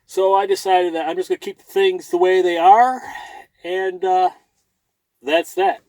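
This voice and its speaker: A man's voice: high voice, steady tone, unhurried, darkish tone, no echo, low-quality recording. Folksy man chatting casually, dry close sound. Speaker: vlogger and truck driver. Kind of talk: casual vlog chat. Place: inside a truck cab.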